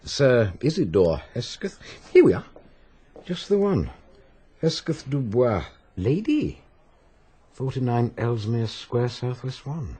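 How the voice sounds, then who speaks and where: Police doctor: breezy, curious, irreverent, highly animated delivery, a little husky, radio drama. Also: male voice, takes it slowly, long pauses, heavily acted. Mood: intrigued.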